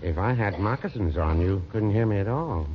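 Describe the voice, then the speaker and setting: An actor playing a mild, prim, deadpan older small-town druggist in a 1940s radio comedy. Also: male voice, lowish voice, moderate pace, lightly acted. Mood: mild, chatty. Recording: dull, noisy old radio recording.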